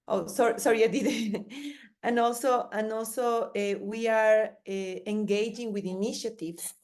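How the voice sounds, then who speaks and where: A woman's voice: mid-range voice, darkish tone. A woman presenting at an online seminar, speaking with frequent restarts and fillers. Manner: hesitant, many restarts and fillers. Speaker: panelist.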